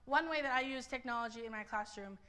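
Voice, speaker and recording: A woman in her thirties, a university writing instructor, giving a chatty talk full of "um"s and story asides, speaking loudly into a clip-on mic. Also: fairly high pitch, quick pace. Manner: loud, chatty storyteller with many ums. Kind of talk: conference presentation with anecdotes. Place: library presentation room. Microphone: clip-on mic on her skirt.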